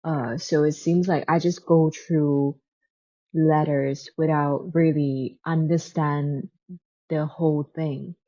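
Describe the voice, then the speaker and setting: A woman's voice: low voice, fairly even intonation, slow pace, mellow, lo-fi sound. A woman who speaks English as a second language, chatting casually and unscripted like a book vlogger, often hesitating and searching for words. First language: not English, speaks it as a second language.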